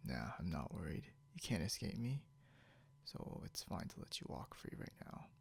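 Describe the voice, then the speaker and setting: A man playing a possessive, snarky, non-human captor in an ASMR roleplay, speaking softly and deliberately, often close to a whisper, with smug condescension. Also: low voice, sing-song, slight background noise, full character voice. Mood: teasing, menacing. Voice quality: soft, breathy, often near whisper. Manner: smug, teasing, slow and deliberate.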